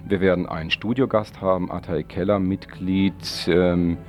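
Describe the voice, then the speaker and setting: A man's voice: deep voice, fairly even intonation, moderate pace, muffled recording, faint music behind. A man hosting an informal radio magazine from a studio, phrasing things loosely and correcting himself as he goes. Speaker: radio host. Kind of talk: informal magazine show introduction and interview. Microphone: studio microphone.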